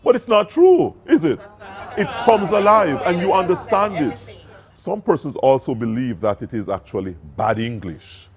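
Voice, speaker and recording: A man's voice: on the high side, slightly rough. A man from Jamaica with a Jamaican accent, reading scripture in English and patois and explaining it earnestly. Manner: earnest, emphatic.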